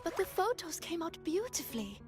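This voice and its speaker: Young female student, video game. Very high, animated pitch, slow delivery, soft, sweet and earnest. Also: over music. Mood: gentle, wistful.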